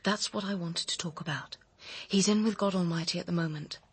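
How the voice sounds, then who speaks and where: Radio drama role: controlling, persuasive woman with a secret, very husky low voice, dry close sound. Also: fairly quick, dry recording. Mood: guarded, urgent. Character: cool, commanding, manipulative woman with a secret, coaching her lover.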